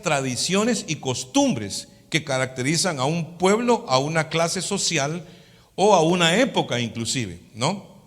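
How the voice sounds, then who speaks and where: A man's voice: fairly high pitch, fairly bright tone. A man with a Latin American Spanish accent teaching a sermon as a pastor, in a didactic manner, often checking for agreement with short tag questions. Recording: long hall reverb.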